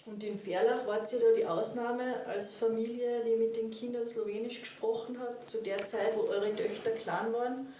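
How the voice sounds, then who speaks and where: A woman conducts an interview with short, prompting questions. Her voice is warm and dark, and it reaches the microphone from a distance. The room adds noticeable reverb, with a faint ambience behind her. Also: a little high, fairly even intonation, normal speed, few pauses, slight background noise. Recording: roomy, off-mic, faint ambience.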